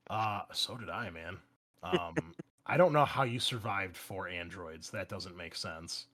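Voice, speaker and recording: A man's voice: sits low, expressive, unhurried, fairly bright tone. Casual man running a tabletop game. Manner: casual, profane. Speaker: game master. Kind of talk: running a tabletop roleplaying game, explaining rules and narrating.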